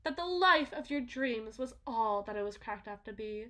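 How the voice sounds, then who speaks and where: A woman playing a delusional, condescending, possessive wealthy mother in a theatrical ASMR roleplay, phrasing everything in a drawn-out, deliberate way. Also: on the high side, expressive, fairly bright tone. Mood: condescending, chiding. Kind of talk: ASMR roleplay, dramatic monologue.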